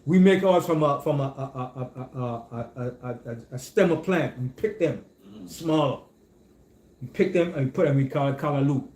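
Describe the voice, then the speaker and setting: A man's voice: mid-range voice, moderate pace, a little mellow. Man, echoey room. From Caribbean. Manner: keeps checking in with "you understand?". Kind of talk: casual group discussion.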